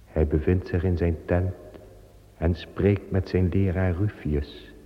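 A male narrator reads in a slow, deep, solemn voice. A long reverb trails behind his words. Faint music plays under the dull old recording. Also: fairly even intonation. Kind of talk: scripted radio play narration.

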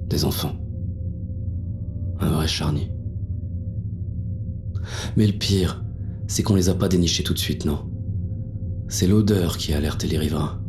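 A man's voice: low voice, warm tone. A man playing a jaded, wary police inspector who narrates a noir audio drama in a blunt, world-weary, slangy way.

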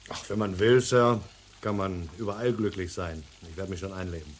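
A man's voice: low voice, steady tone, moderate pace, a little hiss or hum, natural voice. An actor playing a proud, guarded ex-convict policeman in a German radio crime drama, speaking in a clipped, formal way. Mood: formal, deferential. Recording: vintage radio play with music behind.

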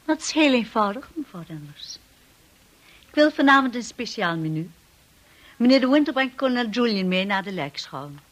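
A woman's voice: on the high side, sing-song, normal speed. An actress playing a devoted, steadfast young wife and lady of the manor in a radio drama. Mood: firm, cool. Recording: noisy old radio play recording.

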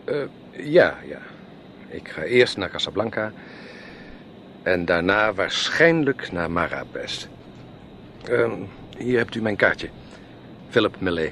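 A smooth-talking, jovial salesman in an old radio play. His low voice swings widely as he pays courteous, chatty attention, over a noisy recording. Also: big pitch swings, takes it slowly, long pauses, a bit bright, full character voice. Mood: courteous, effusive. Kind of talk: radio drama acting.

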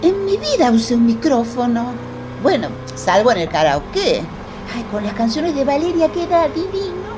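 A woman's voice: sits high, sing-song, moderate pace. A woman playing a bossy, motherly, theatrical troupe actress in a radio drama, with an Argentine accent and exclamatory, fussy talk. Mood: dramatic. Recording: noisy vehicle ambience.

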